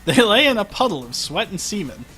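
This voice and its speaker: Male livestream story reader, wry and prone to asides; lively, swooping pitch over quiet music. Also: a little fast. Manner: wry, breaks off to comment on the text. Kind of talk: comic fan fiction reading on a livestream. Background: faint music.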